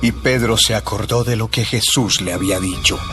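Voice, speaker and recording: A man's voice: fairly low pitch, moderate pace, over music. A man narrating a dramatized Bible audiobook in a dramatic storytelling manner.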